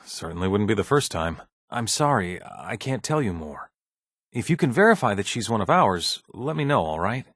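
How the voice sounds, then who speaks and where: A husky, low-pitched male scientist from a video game. He is polite and deferential, with a measured bureaucratic manner and a hesitant, uneasy edge. His intonation moves around freely, and the sound is close and dry. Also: very animated, a bit bright, dry recording, exaggerated voice. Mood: analytical, guarded. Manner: hesitant, deferential, measured office-professional speech. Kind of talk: video game dialogue acting.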